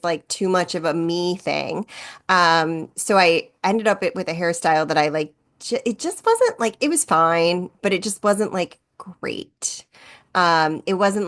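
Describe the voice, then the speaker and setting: Chatty woman podcast host, low voice. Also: a bit bright. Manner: chatty, self-deprecating, many "like" and "um" fillers. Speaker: podcast co-host and wedding photographer. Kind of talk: casual podcast chat.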